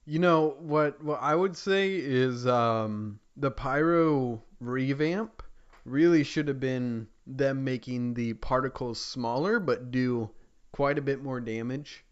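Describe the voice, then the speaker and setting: Guy on a gaming podcast, smooth clean voice, swears a lot, bit muffled lo-fi sound. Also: mid-range voice, takes it slowly. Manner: informal, frequently swearing. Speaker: podcast co-host. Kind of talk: casual chat about video games.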